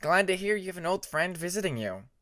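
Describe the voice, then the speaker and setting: A man's voice: high-pitched, sing-song, a little fast, fairly bright tone, no room sound, studio quality, voice pushed up. A man voicing a friendly young male dragon prince in a storybook reading, casual and amiable. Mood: cheerful.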